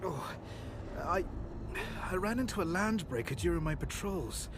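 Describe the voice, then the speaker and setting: Nervous stammering scientist in a game, slow and swooping pitch, some noise behind. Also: male voice, expressive. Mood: shaken, grateful. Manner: halting, stammering, hesitant. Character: timid, shaken male scientist, nervous and grateful. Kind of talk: video game voice acting.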